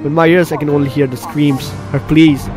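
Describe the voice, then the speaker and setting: Higher-pitched man reading a game dramatically. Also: flat delivery, brisk. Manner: dramatic, stumbling read-aloud. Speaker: gaming video host. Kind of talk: gameplay commentary, reading story text aloud. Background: game music.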